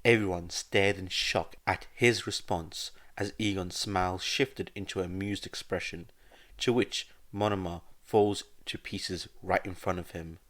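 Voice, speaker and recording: Male story narrator, deep pitch, slow scripted read. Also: fairly even intonation, a bit bright.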